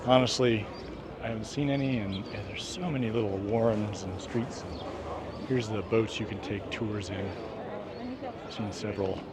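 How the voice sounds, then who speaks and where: A man's voice: lowish voice, steady tone, normal speed. A man giving casual, musing walking-tour commentary off the cuff while outdoors on town streets. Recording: loud outdoor street noise. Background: street ambience, people, vehicles.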